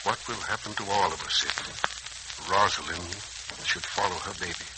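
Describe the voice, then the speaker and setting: Actor as a low-voiced, lonely old uncle. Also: male voice, normal speed, close to an everyday voice. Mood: melancholy. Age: older. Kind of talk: 1940s radio serial acting. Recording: noisy, crackling old 1940s radio recording.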